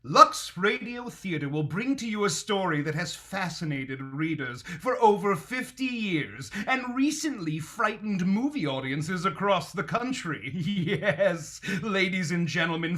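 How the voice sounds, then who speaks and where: An actor playing a grand, theatrical 1930s radio announcer, with dramatic pauses. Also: male voice, sits high, bright, forceful tone, exaggerated voice.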